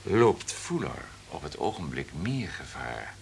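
Diepe, pompeuze radiodirecteur. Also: male voice, very deep voice, sing-song, slow pace, a little hiss or hum, heavily acted. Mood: forceful, calculating. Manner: pompous, commanding, throat-clearing. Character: domineering, calculating male radio station boss. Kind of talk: Dutch radio drama acting.

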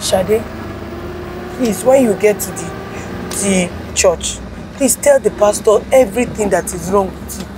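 A woman's voice: medium pitch, measured pace, background noise, natural voice. A woman with a Nigerian accent playing a distraught, tearful mother who keeps pleading with her silent daughter to eat. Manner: pleading, repeating herself. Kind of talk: comedy skit acting.

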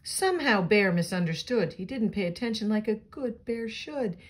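A woman reading a children's picture book aloud in an expressive, child-directed way, with chatty asides. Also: mid-range voice, normal speed. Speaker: storybook reader.

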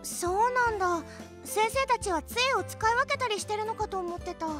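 A woman playing an excitable, cheeky, curious young academy student in an anime-style radio drama, exclaiming with wide-eyed reactions. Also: very high-pitched, moderate pace, soft background music. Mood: curious, surprised.

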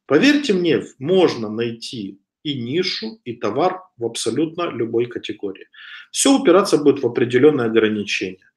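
A man's voice: mid-range voice, big pitch swings, normal speed. A man in his thirties giving a webcam lecture over slides, talking casually with frequent hesitations and a slight cough from a cold. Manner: conversational, with frequent hesitations and restarts. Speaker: online business instructor. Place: home room with wooden shelves. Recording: echoey room on a webcam.

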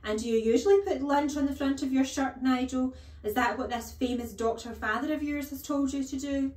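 A woman's voice: sits high, fairly quick, darkish tone, voice pushed up, close to an everyday voice. A woman voicing a huge, bullying headmistress who barks contemptuous commands and insults in a children's storybook reading. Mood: scornful, bullying. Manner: barking, bellowing commands, contemptuous. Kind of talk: children's storybook read-aloud. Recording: echoey room.

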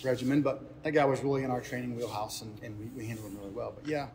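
A man's voice: mid-range voice, steady tone, rapid-fire, darkish tone. Earnest male coach, slight background noise. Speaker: head baseball coach. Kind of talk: postgame press interview, unscripted answers. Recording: ambient noise behind speech.

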